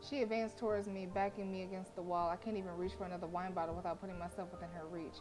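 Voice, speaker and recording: A woman game streamer reading story narration aloud in an expressive, dramatic way, with casual delivery between passages. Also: lowish voice, very fast. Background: game music.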